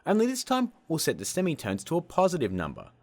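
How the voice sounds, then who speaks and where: A man hosting a casual software tutorial in a cheeky, self-mocking way, with jokey asides. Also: fairly high pitch, wildly swooping pitch, quick pace. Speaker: host of a video-editing tutorial show. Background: music.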